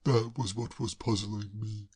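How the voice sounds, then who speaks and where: A reader voicing a genial, bumbling young English gentleman who speaks slowly and in a puzzled way. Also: a bass voice, steady tone, full character voice. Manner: fussy, polite, slow and puzzled.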